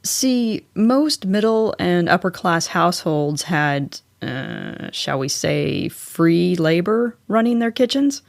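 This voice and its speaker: A woman's voice: on the low side, animated, slow pace, creaky, few pauses, dry recording, studio quality. An American woman hosting a solo podcast, narrating in a chatty, scripted style with wry, informal asides. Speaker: podcast host.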